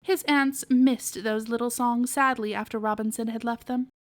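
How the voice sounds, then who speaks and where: A woman's voice: a little high, steady tone, moderate pace. A woman narrating a children's storybook aloud as a podcast storyteller.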